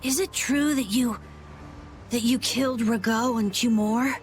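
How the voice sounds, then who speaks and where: Game role: woman playing a small boy leader, high-pitched, earnest and unsure of himself, faint music behind. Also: a little slow, natural voice. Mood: troubled. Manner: earnest, hesitant. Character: earnest, anxious young boy guild leader. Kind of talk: video game voice acting.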